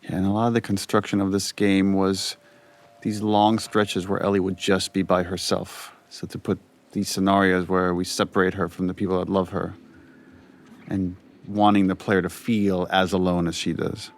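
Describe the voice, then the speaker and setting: A man with a deep voice and a flat, steady melody. He talks through scenes as a director would, conversationally, pausing and rephrasing as he thinks. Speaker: game director giving commentary. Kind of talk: director's commentary, casual conversational.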